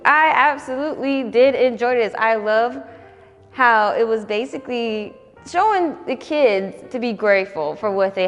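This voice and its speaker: A young woman in her twenties, a volunteer reading a children's picture book aloud in an expressive, animated way, in a roomy space with faint music. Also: mid-range voice. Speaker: volunteer storybook reader.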